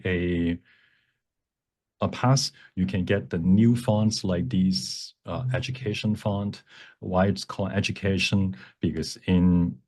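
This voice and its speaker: A man with a deep, soft-edged voice leads a live workshop. He explains step by step in a halting, explanatory manner, letting the ends of phrases trail away. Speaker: workshop presenter. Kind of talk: live software workshop teaching with Q&A.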